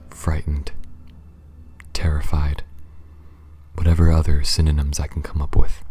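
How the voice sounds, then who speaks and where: A man playing a gentle, apologetic alien pilot in an ASMR audio roleplay, speaking softly, often near a whisper, in a careful, halting, formal and reassuring way. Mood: sympathetic, reassuring. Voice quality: soft, very low, breathy, often near whisper. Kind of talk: ASMR audio roleplay, scripted monologue addressed to listener.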